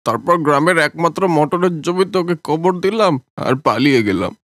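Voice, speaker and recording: A man voicing an old male village swindler in a cartoon, grumbling in a lower, gruffer voice than his own. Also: lively intonation, fairly quick, fairly bright tone, no room sound. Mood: confessing. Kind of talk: Bengali cartoon voice acting.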